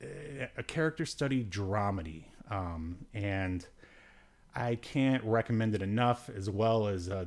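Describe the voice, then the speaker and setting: A man hosting a podcast with casual, rambling review chat, hesitant and given to dry, joking asides. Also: on the low side. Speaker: podcast host.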